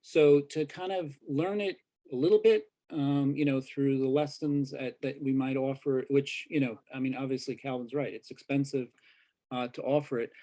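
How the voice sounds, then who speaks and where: A man takes part in a panel discussion over a video call. His voice is dark and mellow. He speaks in a measured, thoughtful way, and his sentences often trail off softly. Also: mid-range voice, steady tone. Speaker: journalist, panelist.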